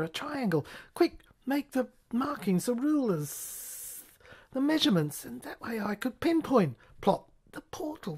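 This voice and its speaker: A woman's voice: on the high side, slightly rough. Woman delivering a dramatic, theatrical monologue. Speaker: narrator and performer of an audio play.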